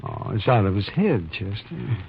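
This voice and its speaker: An actor playing a dry-witted, crotchety, bossy frontier town doctor in a 1950s radio western. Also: male voice, on the low side, very animated, unhurried, vocal fry, full character voice. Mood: dry, fussing. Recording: noisy dull vintage radio recording.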